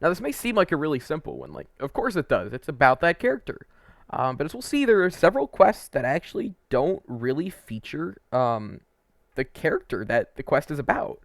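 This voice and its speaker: A man's voice: fairly high pitch, very animated, fairly quick, gravelly, dry recording, studio quality. A man giving casual, opinionated video game commentary as a host, conversational and a little hesitant, wandering into asides.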